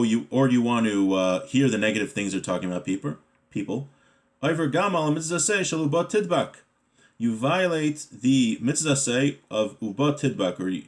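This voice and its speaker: A man teaching a Torah class, reading the text aloud and explaining it plainly and informally, repeating points with hesitant pauses. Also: lowish voice. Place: live-streamed online class.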